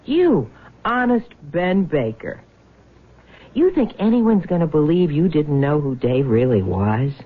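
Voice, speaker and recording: An actress in a radio drama playing a bitter, grasping crook's widow with a biting, sarcastic way of talking. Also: female voice, deep voice, very animated, measured pace, breathy edge, exaggerated voice. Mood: bitter, threatening. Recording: muffled phone-like old radio broadcast.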